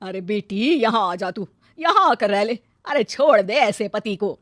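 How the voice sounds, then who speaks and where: A woman's voice: mid-range voice, animated, measured pace, a bit bright, no echo, studio quality. A woman voicing a protective middle-aged mother in a family story, with a coaxing, motherly manner.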